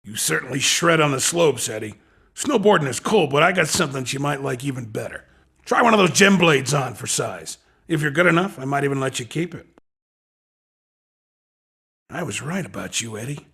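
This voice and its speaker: Raspy, slangy hustler. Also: male voice, mid-range voice, animated, normal speed, bright, forceful tone, exaggerated voice. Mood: coaxing, slick. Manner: slangy, coaxing salesman patter. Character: Skid, a hustling male street racing recruiter, cocky and slangy. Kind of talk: video game character dialogue.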